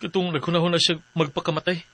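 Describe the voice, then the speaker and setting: An actor with a Cebuano Filipino accent playing a troubled, devoted forty-year-old farmer in a radio drama. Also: male voice, fairly high pitch, flat delivery, rapid-fire, bright, forceful tone, no echo. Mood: worried. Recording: faint music bed.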